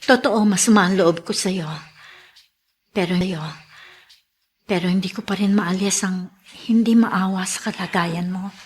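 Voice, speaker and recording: A woman's voice: mid-range voice, fairly even intonation, a little slow, long pauses, breathy edge. Worried mother: radio drama, middle register, anxious, hesitant, emotionally earnest. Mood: hurt, pitying. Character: Mariana, worried mother fighting for her child. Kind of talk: Filipino radio drama acting.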